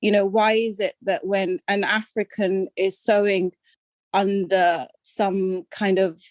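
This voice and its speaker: Older woman guest from Sudan raised in London, mid-pitched, dry close sound, thoughtful and self-deprecating. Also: flat delivery, slow, no room sound. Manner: reflective, self-deprecating, with many ums and restarts. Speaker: podcast guest. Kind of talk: conversational interview.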